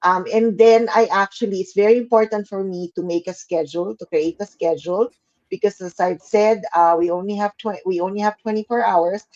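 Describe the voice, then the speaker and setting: A woman's voice: mid-range voice, fairly even intonation, fairly quick, a little mellow. Woman, from the Philippines, chatty, giggly, dull lo-fi stream. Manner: giggly, chatty, many fillers. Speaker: co-host. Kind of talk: casual live chat.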